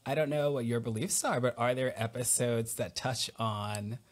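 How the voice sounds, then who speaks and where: A man in his thirties hosting a podcast interview over a video call. He speaks warmly and encouragingly, and he asks long, open questions. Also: medium pitch, normal speed. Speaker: podcast host. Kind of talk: conversational interview. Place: home room, on a video call. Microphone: over-ear headset.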